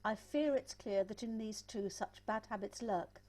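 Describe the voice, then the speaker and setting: A stern old nanny villain, played by a woman in a stage musical read-through. She declaims with drawn-out menace, severe and bullying, at a middle pitch. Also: mellow, close to an everyday voice. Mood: stern, menacing.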